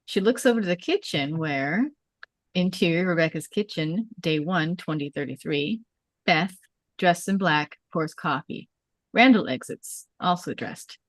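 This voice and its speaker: A woman's voice: on the low side, animated, measured pace, no room sound. A woman narrating a script at a table read, reading out scene headings and stage directions.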